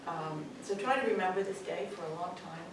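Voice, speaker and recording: A middle-aged woman with a Canadian accent addresses a hall full of schoolchildren, joking lightly and pausing with "um". Her fairly low voice comes from far off in a big, echoey room. Steady room noise sits under her words. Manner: warm, joking, with frequent ums. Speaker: parent giving a speech. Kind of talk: graduation speech. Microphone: distant camera.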